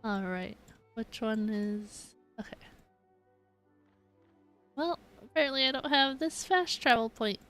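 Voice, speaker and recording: A young woman chatting casually as she comments live on a game, expressive and giggly. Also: fairly high pitch, takes it slowly, long pauses, soft background music. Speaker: game streamer.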